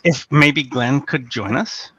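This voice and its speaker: A man calling in over a video call, talking haltingly with lots of fillers. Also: mid-range voice, sharp tone, breathy edge, highs cut off. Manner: halting, hesitant, many fillers. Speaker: caller. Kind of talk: casual call-in chat.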